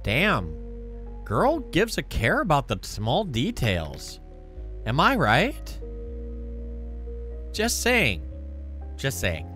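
A man streaming a visual novel with casual, animated, playful commentary. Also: medium pitch, very slow, long pauses. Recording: music playing behind the voice.

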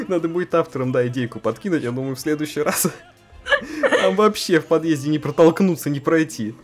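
A young man hosting a casual let's-play, narrating a visual novel and joking about it. His voice moves lively up and down at a medium pitch, with faint music in the background. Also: moderate pace. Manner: playful, giggly. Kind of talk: reading a visual novel aloud and chatting.

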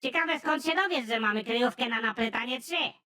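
A woman's voice: brisk, sharp tone. A woman voicing a dim-witted, panicky young male kidnapper who talks brashly and crudely. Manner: brash and crude, with swings in pitch.

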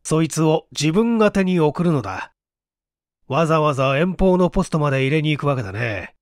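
Man playing a smug, animated storybook writer. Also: a little high, normal speed, no echo, studio quality, close to an everyday voice. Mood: eager. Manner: self-satisfied, explanatory, rambling. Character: eager, smug male writer pitching his odd story.

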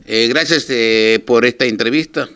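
Casting: older Peruvian male, mid-pitched, radio manager giving an informal, halting interview statement into handheld mics. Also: bright, forceful tone, a little hiss or hum. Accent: Peruvian Spanish. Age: 60s or older. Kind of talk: informal televised statement to listeners. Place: small office, indoors. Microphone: handheld reporters' microphones held close.

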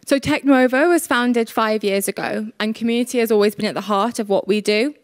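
A young woman presenting a prepared conference talk on stage, steady and polished. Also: a little high, fairly quick, no echo. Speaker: conference speaker.

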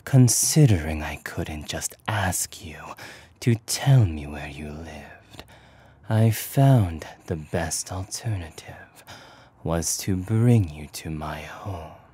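A man's voice: deep voice, animated, dark tone, heavily acted. Man playing a whispering, ominously courteous male demon. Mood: calm, ominous. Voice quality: breathy whisper. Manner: slow, controlled, polite. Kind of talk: ASMR character roleplay.

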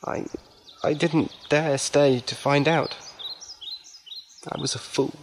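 A man's voice: a little high, steady tone, slow, slight background noise. A man acting in an audio drama as a lovelorn, timid travelling musician, earnest and hesitant. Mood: dejected.

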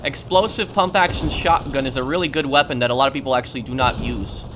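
A man's voice: medium pitch, fast talker. A man giving casual commentary as he plays a video game. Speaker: gaming video creator. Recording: clear game noise and gunfire behind. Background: video game gunfire and ambience.

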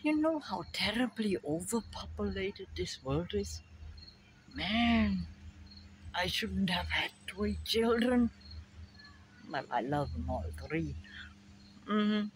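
An older woman with a German accent, musing slowly to a phone camera in her backyard about memory and family. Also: mid-range voice, lively intonation, a little hiss or hum, low-quality recording. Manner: slow, musing, drifting between thoughts. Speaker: vlogger. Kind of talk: rambling personal monologue to camera. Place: backyard outdoors by a fence. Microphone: phone camera at arm's length. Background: crickets and insects.

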